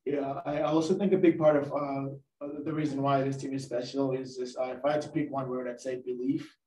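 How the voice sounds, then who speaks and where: A man's voice: medium pitch, little pitch movement, fairly quick, mellow. A young man answering as a college team captain at a press conference, hesitant and thinking aloud. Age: young adult. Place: press conference room, indoors at a table. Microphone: distant camera mic, face mask on. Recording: echoey room, distant microphone.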